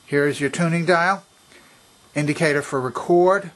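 A man at a workbench giving a calm, methodical hands-on demonstration of an old stereo system, speaking from behind the camera. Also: on the high side, moderate pace. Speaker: vintage electronics restorer.

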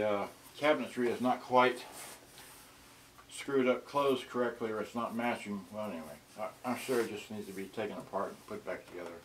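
A man in his sixties talking casually and haltingly, muttering to himself as he demonstrates something to a camera a short distance away in a small indoor room. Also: fairly low pitch, a little hiss or hum. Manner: halting, muttering to himself while handling items. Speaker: vintage electronics unboxing vlogger. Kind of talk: casual off-the-cuff demonstration talk.

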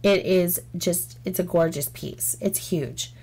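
Slow-talking woman with a sore throat. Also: on the low side, darkish tone, husky. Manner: rambling, frank, many "um"s. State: sore throat, losing her voice. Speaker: craft channel host. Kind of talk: casual chat while diamond painting. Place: home craft table, indoors.

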